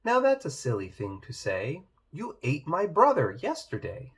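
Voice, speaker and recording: A man's voice: fairly high pitch, wildly swooping pitch, measured pace, a bit bright, breathy edge, exaggerated voice. A man voicing a small, brave little pig who scolds firmly and bossily in a children's storybook. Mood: scolding. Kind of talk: children's storybook read-aloud.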